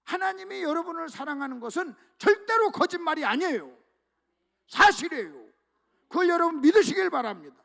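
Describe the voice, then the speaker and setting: A man preaching a sermon to a church congregation, leading them in call-and-response reading and swinging between hushed asides and loud emphatic exclamations. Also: very high voice, very animated, a little fast, vocal fry. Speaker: pastor. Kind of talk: sermon at a revival meeting. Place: church sanctuary.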